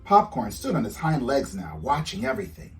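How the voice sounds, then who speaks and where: Animated man reading aloud to children. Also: medium pitch, brisk, distant mic, faint music behind. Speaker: children's author reading own book. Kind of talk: storybook read-aloud for children.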